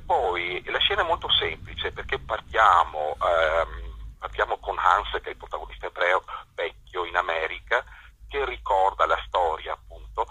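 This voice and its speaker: Low, raspy, bright-edged man on a phone. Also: no echo. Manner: halting, repeats words as they form. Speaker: theatre director being interviewed. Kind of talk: spontaneous phone interview. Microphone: telephone. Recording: tinny, harsh telephone line.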